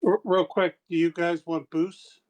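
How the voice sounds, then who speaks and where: A man's voice: a little high, fairly even intonation, a little slow, a little mellow, dull audio. A man talking casually as a player in a tabletop roleplaying game.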